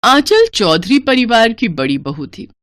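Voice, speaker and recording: A woman's voice: a little high, big pitch swings, measured pace, a bit bright, no room sound, studio quality. A woman narrating a scripted family story in a dramatic storytelling style.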